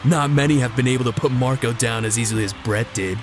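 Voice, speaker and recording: A smug, taunting male clan leader, played by a man in a visual novel. His pitch is average, and background music plays clearly under the voice. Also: quick pace, close to an everyday voice.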